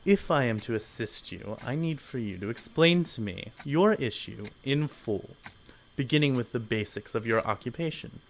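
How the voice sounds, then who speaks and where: An actor playing a pompous, officious corporate boss in an audio drama, condescending and easily offended. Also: male voice, mid-range voice, animated, moderate pace, vocal fry, a little hiss or hum, rough recording. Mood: curt, impatient.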